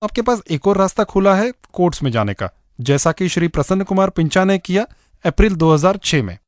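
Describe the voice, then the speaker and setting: A man's voice: high-pitched, animated, brisk, dry recording, studio quality. A man with an Indian accent presenting a radio show in scripted narration, addressing the listeners directly.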